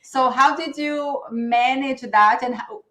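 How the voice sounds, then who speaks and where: A woman's voice: high voice, a bit bright. Middle-aged woman interviewer, echoey room. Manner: halting, restarts questions. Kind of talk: video call interview. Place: room at home. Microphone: video call webcam.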